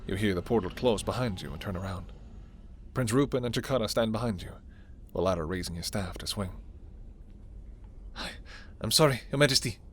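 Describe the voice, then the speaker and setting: Low-pitched man narrating a story. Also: brisk, a little mellow, a little hiss or hum. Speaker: narrator and voice performer. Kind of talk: scripted second-person story narration.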